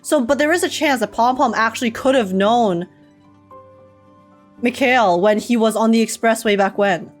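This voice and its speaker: A giggly, excitable woman giving casual reaction commentary as she plays a game. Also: a little high, normal speed, soft background music.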